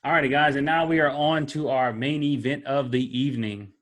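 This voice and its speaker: A relaxed man, chatting casually about fights as a co-host. His pitch sits in an ordinary middle range and he talks easily, often tagging "man" on the end. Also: brisk. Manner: laid-back, chatty, drawls "man". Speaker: podcast co-host. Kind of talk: casual sports chat.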